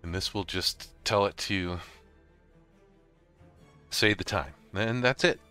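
Deep, bright-voiced man giving a tutorial. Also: slow pace, smooth, soft background music. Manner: informal, step-by-step explaining. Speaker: host of a software tutorial. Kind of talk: casual screen-recorded walkthrough.

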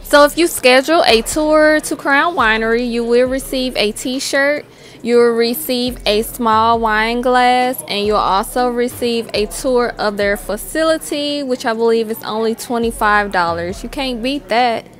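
A woman talks slowly and easily as she narrates her day out, her voice a touch high. Soft music plays under her words. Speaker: vlogger. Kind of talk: casual vlog narration.

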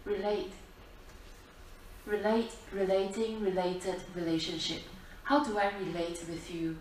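A woman's voice: mid-range voice, steady tone, darkish tone. Woman teaching in a very echoey room. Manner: deliberate, repetitive, questioning the listeners. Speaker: Buddhist nun, teacher. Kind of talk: dharma talk to a seated group. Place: library-like meeting room, talk to an audience. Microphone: distant camera at back of room. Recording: echoey room, distant mic, faint noise.

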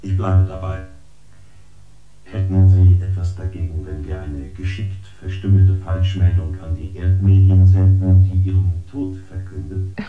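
Man playing a low, flat-voiced ship computer. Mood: matter-of-fact. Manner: measured, formal, matter-of-fact. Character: formal, analytical male artificial intelligence ship computer. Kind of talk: German science-fiction radio play acting. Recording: dull, roomy sound with loud guitar music.

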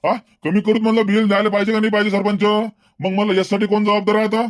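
A man's voice: sits high, monotone, very fast, a little hiss or hum, close to an everyday voice. A man voicing a talkative, level-headed villager in a comedy cartoon, earnest and wordy, with a Varhadi Marathi accent. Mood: aggrieved, exasperated. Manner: earnest, wordy, repeats himself.

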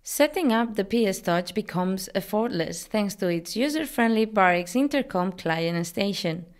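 A woman in her thirties speaks as a company presenter. Her voice sits at an average pitch for a woman. She delivers scripted product narration in an upbeat, promotional manner. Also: animated, normal speed, faint music behind. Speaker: company presenter, digital marketing manager.

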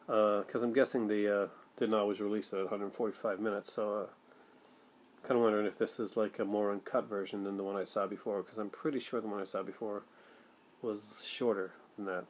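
A man in his forties talks casually into a desk webcam in a room at home, picked up by the built-in mic. His voice sits fairly low, and he rambles along, stopping and restarting with plenty of fillers. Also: darkish tone, slight background noise. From Canada. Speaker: vlogger, film collector. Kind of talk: casual chat to camera. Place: home room with shelves of films, indoors.

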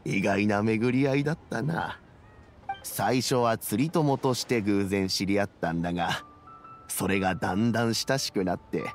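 An actor playing an aging, reflective elder statesman in a Japanese game, with gravelly, measured, old-fashioned speech. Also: on the low side, heavily acted. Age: older.

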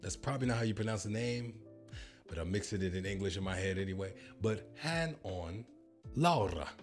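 A man's voice: lowish voice, expressive, brisk, soft background music. A man in his thirties with an American accent, joking and boasting as he reacts to a language-learning app, speaking into a handheld mic in a home studio. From United States. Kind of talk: playful reaction commentary. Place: home studio with purple lighting. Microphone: handheld microphone.

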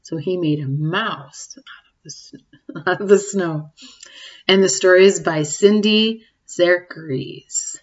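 A woman in her forties reads a picture book to small children in a slightly low voice. She takes it very slowly, stopping to ask questions and comment in an expressive, child-directed way. The sound is a little dull, as from a webcam in a room at home. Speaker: teacher reading aloud to young children. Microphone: webcam or laptop mic at desk distance.

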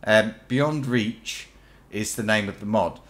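A man hosting a game playthrough, a bit croaky from illness, rambling and chatty as he thinks aloud. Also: on the low side, slow, fairly bright tone. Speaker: let's play host. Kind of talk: casual gameplay commentary.

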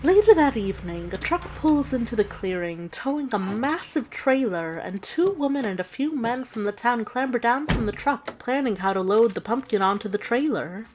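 A woman playing a witch who narrates an audio drama with measured, deliberate storytelling. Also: fairly high pitch, expressive, slight background noise, natural voice, rough recording.

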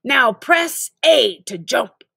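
Storybook drill sergeant, played by a woman: stern, very deliberate, emphatic orders. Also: sits high, big pitch swings, gravelly, fairly bright tone, slightly rough, dry recording, studio quality, pitched above the performer's own voice, full character voice. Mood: commanding. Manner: clipped, emphatic, deliberate commands. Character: stern, single-minded male drill sergeant, robotically repeating game instructions.

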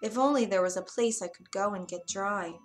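A woman's voice: mid-range voice, normal speed, natural voice. A sad young boy duckling from a children's fairy tale, acted out by a woman. The voice is plaintive, earnest and a little raised, with music underneath. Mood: forlorn. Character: sad, timid young male duckling, gentle outcast.

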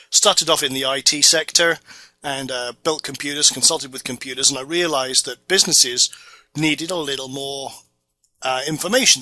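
A middle-aged man from the Orkney Islands with a Scottish accent narrates an online marketing course in a casual sales-pitch manner, with many fillers. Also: bright, forceful tone, no echo. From Orkney Islands, Scotland. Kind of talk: narrated slideshow course video.

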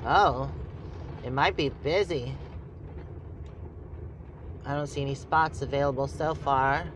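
A man's voice: mid-range voice, slow pace. A man hosting a casual travel vlog, chatting and thinking aloud as he drives, recorded inside a vehicle with road noise. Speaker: host.

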